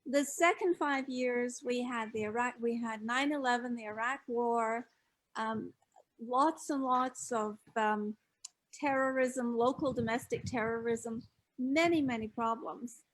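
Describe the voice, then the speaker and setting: Older woman from Scotland, high-pitched, reflective, measured interview guest. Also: steady tone, rough recording. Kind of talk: casual interview chat.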